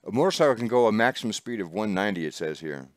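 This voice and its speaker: Low-voiced rambling man. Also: lively intonation, rapid-fire, no room sound, clean studio sound. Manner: chatty, rambling, laughs often. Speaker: host. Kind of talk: casual chat. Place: small studio.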